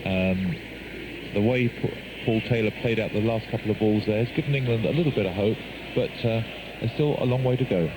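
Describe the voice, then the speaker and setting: A man giving halting, unscripted live cricket analysis on a shortwave radio broadcast. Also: lowish voice, noisy background. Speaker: cricket summariser. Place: cricket ground commentary position.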